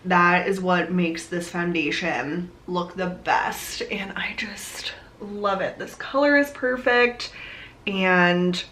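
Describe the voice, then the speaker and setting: A woman's voice: mid-range voice, fairly bright tone, a little hiss or hum. A young woman with a slightly nasal, allergy-stuffed voice, chatting casually through a makeup tutorial with lots of "like" and "um". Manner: chatty, rambling with many "like" and "um" fillers. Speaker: beauty vlogger.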